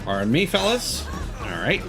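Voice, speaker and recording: A man giving casual livestream game commentary, musing in a halting way and often trailing off mid-thought. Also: mid-range voice, huge pitch swings, gravelly, noisy background. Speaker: game streamer. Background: game music.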